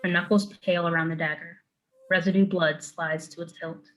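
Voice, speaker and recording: A woman's voice: on the low side, flat delivery, brisk, soft background music, rough recording. A woman narrating a screenplay, reading out scene headings and stage directions as scripted narration.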